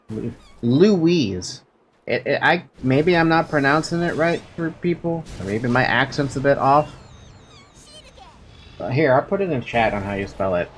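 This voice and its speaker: Streamer rambling to chat while gaming, playful, voice goes up and down a lot, bit of background noise. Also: medium pitch. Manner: rambling, playful, chatting with viewers. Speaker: game streamer. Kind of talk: casual livestream chat over gameplay.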